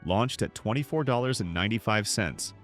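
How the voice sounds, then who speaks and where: A man's voice: fairly low pitch, lively intonation, brisk, soft background music. A man reading scripted narration in an earnest storytelling manner, as if addressing the listener directly. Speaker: narrator of a nostalgia video.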